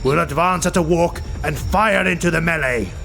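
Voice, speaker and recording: A man voicing a ruthless, imperious highborn dark elf warlord in a fantasy audiobook, curt and commanding. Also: moderate pace, gravelly, faint music behind. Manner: barking, imperious.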